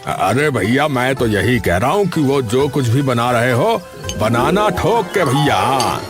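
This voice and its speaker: An actor voicing a chatty, enthusiastic village customer with a folksy manner in an animated story. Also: male voice, mid-range voice, slow pace, faint music behind. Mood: hearty. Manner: folksy, repeatedly says bhaiya. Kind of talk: animated story character acting.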